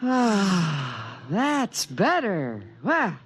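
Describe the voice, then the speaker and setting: A man playing an invisible alien spirit, an eager and fussy protector with halting, pedantic speech, in 1950s radio drama style. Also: sits high, wildly swooping pitch, heavily acted. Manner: halting, searching for words, pedantic. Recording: noisy old radio recording.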